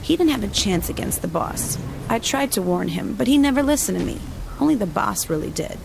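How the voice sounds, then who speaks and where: A woman's voice: mid-range voice, animated, a little fast, creaky. An actress playing a brash, hard-partying young gang woman, cocky and flippant, in a video game audio log. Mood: cocky, dismissive. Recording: noisy game capture.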